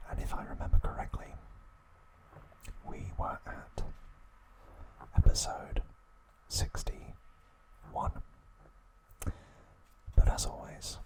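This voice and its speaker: A man hosting ASMR, whispering in hushed, drawn-out short phrases with frequent pauses. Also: low-quality recording. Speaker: host of an ASMR channel. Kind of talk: ASMR, whispered trivia talk and reading aloud.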